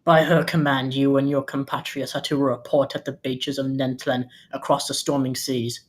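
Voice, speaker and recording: A woman's voice: a bass voice, little pitch movement, moderate pace. A woman playing soldiers and officers in a table read of a fantasy war script. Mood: formal, commanding.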